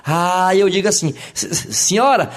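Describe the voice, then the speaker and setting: A man's voice: sits high, expressive, moderate pace. A Brazilian man voicing an eager job-seeking salesman with a stutter in a comic joke. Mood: confident.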